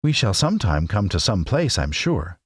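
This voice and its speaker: A man's voice: fairly low pitch, big pitch swings, normal speed, breathy edge, dry recording, clean studio sound, voice pushed up. Earnest young girl, as a man puts her on.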